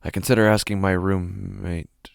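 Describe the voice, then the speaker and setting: A man acting an unraveling, despondent office worker in a first-person horror podcast monologue, halting and brooding with a gravelly voice. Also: low-pitched, little pitch movement, no echo, clean studio sound. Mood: weary, subdued. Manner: halting, brooding, breathy near-whispers, repeats words. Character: despondent, unraveling male office worker getting drunk alone. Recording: music playing under the voice. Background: prominent music bed.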